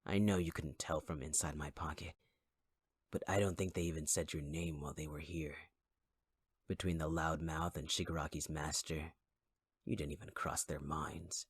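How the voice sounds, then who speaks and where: A man voicing a taunting young male fire villain in an audio roleplay, speaking in a husky, mocking, intimate voice with close whispers. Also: very deep voice, flat delivery, moderate pace, full character voice. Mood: cruel. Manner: mocking, cruelly patient, intimate. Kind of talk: ASMR audio roleplay acting.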